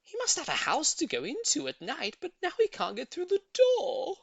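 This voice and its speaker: A woman voicing an excitable, gushing older lady neighbour in a storybook reading. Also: high-pitched, moderate pace, vocal fry, no echo, pitched above the performer's own voice, exaggerated voice. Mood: worried. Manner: exclamatory, gushing.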